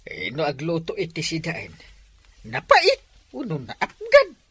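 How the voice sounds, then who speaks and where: A griping village woman with an Ilocano accent, her husky, low voice swinging wildly from grumbles to shrieking outbursts, as a woman acts her out. She is a comic figure who is always complaining. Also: huge pitch swings, unhurried, exaggerated voice. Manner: loud exaggerated complaining exclamations. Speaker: village character in a comedy sketch. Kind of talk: comic drama acting.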